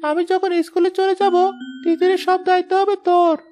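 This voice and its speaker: A woman's voice: extremely high pitch, little pitch movement, fairly quick, dark tone, soft background music. A woman voicing an earnest, caring schoolboy from a poor village in a moral story cartoon. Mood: eager, affectionate. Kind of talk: scripted children's moral story cartoon dubbing.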